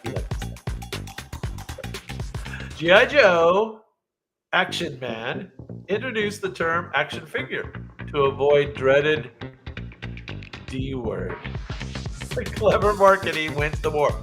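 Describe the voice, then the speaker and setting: A man's voice: a little high, sing-song, slow pace, pauses often. An older man hosting a live trivia night, chatty and jokey, reading clues with playful drama and laughing often. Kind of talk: live-streamed trivia chat with viewers. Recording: music playing under the voice.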